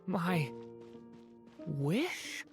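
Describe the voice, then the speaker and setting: A woman's voice: lowish voice, sing-song, extremely slow, exaggerated voice. An actress voicing a bewildered, innocent young boy who is lost, in a video game. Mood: anxious. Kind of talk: scripted game voice acting. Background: background music.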